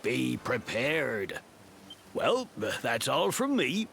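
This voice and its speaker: A man's voice: medium pitch, wildly swooping pitch, very slow, gravelly, a bit bright, slight background noise, exaggerated voice. A man playing a hearty, chatty camping enthusiast in a video game, advising adventurers in a folksy, theatrical way. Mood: jolly, instructive. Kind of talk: scripted video game voice acting.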